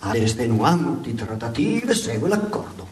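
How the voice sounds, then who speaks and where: A man's voice: medium pitch, big pitch swings, normal speed. A man narrating a satirical theatre monologue in an emphatic, ironic way, repeating words for dramatic effect. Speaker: stage narrator. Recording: hissy radio theatre recording.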